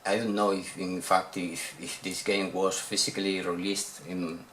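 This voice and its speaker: Deep-voiced Spanish man, halting English. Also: little pitch movement, a bit bright, slight background noise, rough recording. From Spain. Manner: hesitant, repeats words while searching for English. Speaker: video game collector showing purchases. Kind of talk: casual unboxing vlog.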